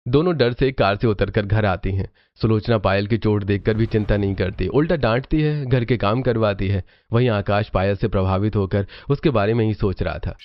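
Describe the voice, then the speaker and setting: A man's voice: on the low side, moderate pace, hardly any pauses, muffled recording. A man reading scripted narration for a cartoon family story.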